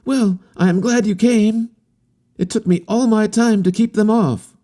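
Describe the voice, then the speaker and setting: A gabbling, high-voiced boyish young Russian. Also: male voice, mellow. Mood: eager. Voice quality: high, falsetto-like cries. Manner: rapid, eager and changeable.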